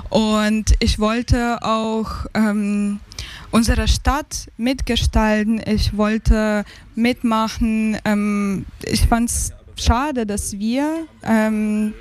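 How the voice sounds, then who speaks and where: A woman's voice: fairly high pitch, fairly even intonation, drawn-out words. A woman in her thirties from Ukraine with a Ukrainian accent, answering as an interview guest and hesitantly searching for words. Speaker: interview guest, advisory board member.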